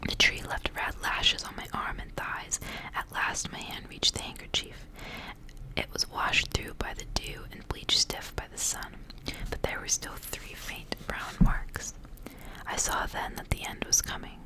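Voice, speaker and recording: A woman's voice: unhurried, poor audio. Whispering woman reading a story, ASMR style. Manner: hushed, whispering throughout. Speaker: ASMR reader.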